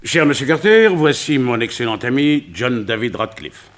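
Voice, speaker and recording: A man playing a wealthy, worried financier in a detective radio play, speaking formally, precisely and gravely. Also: medium pitch, expressive, normal speed, a bit bright, slight background noise, lightly acted.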